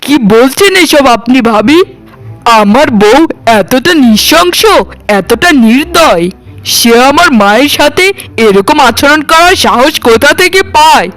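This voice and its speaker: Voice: sits high, expressive, a little slow, fairly bright tone, soft background music, low-quality recording. A performer voicing a devoted, hot-tempered grown son and husband in a melodramatic cartoon. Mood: outraged. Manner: indignant, theatrical.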